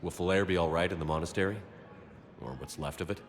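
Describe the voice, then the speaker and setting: A man playing a steady, concerned military hero in a video game, speaking plainly and earnestly. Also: sits low, fast talker. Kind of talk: video game cutscene dialogue.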